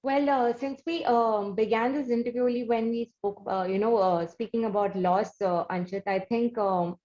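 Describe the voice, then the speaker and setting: A woman's voice: on the high side, fairly even intonation, moderate pace, mellow. Indian woman interviewer, slightly dull audio. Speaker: interview host. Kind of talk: formal, wordy interview questioning.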